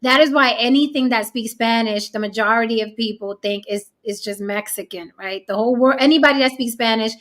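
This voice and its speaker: A woman's voice: fairly high pitch, fairly quick, hardly any pauses, a bit bright. A woman from Puerto Rico hosting a casual chat, talking bluntly and swearing freely. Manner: blunt, profane, emphatic. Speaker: show host.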